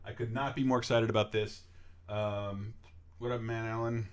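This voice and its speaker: A man's voice: on the low side, fairly even intonation, moderate pace, smooth. A man casually commentating as host of a gaming livestream, enthusiastic and wisecracking.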